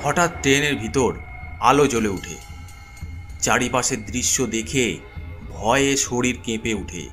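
A man's voice: mid-range voice, a little slow. Suspenseful male horror narrator over music. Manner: suspenseful, theatrical. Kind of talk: dramatic first-person horror story narration.

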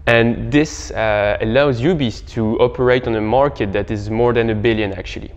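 A young man giving halting, explanatory answers as an interview guest. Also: mid-range voice, fairly even intonation. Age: young adult. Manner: halting, hesitant phrasing. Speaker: startup co-founder, interview guest. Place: bright indoor living room. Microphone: clip-on lapel mic. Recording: muffled, reverberant room.

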